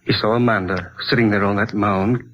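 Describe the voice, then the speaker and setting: A man's voice: on the low side, steady tone, a little fast, fairly bright tone, close to an everyday voice. An actor playing an earnest, educated amateur investigator in a 1940s radio drama, precise and grave in his delivery. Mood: speculative, intrigued. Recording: muffled, noisy 1940s radio recording.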